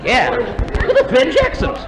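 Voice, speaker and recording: A pompous police inspector, flustered and blustering. His voice sits extremely high and swoops wildly between stammers, in broad sketch-comedy style on a noisy old recording. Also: huge pitch swings, normal speed, crowd noise, exaggerated voice. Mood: indignant.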